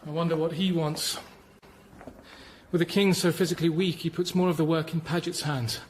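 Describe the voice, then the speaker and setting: A man's voice: a little high, fairly even intonation, moderate pace, slight background noise, close to an everyday voice. A man playing a discreet, shrewd and respectful Tudor court official in a radio drama. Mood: careful, shrewd.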